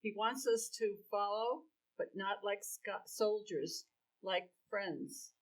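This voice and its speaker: A woman playing a slow, earnest old friend, heard from afar. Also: mid-range voice, natural voice. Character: dusty, peaceful grown man, a longtime follower. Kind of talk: amateur church dramatic reading. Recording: voice from far off the mic.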